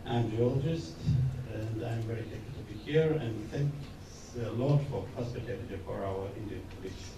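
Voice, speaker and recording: A man's voice: fairly low pitch, normal speed, noisy background. A man with a Russian accent, a geologist, introducing himself briefly and light-heartedly at a workshop. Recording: echoey conference hall, distant mic.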